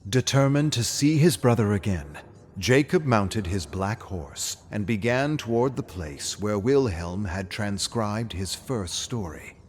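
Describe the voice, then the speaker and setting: A man narrating a scripted fairy tale as a storyteller, with a dramatic, measured delivery. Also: lowish voice, animated, a little hiss or hum.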